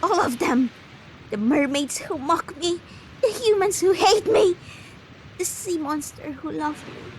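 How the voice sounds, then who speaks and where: A woman's voice: very high-pitched, lively intonation, unhurried, a little hiss or hum, heavily acted. A woman voicing a vain, self-loathing female sea monster with a murderous temper in a melodramatic visual novel dub. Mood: bitter despair. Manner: vicious, theatrical shrieking and gloating.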